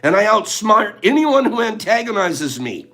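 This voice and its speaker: High, lively, playful livestream host man. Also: moderate pace, vocal fry, faint music behind. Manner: playful, teasing, talks straight to chat. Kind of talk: casual livestream chat with viewers.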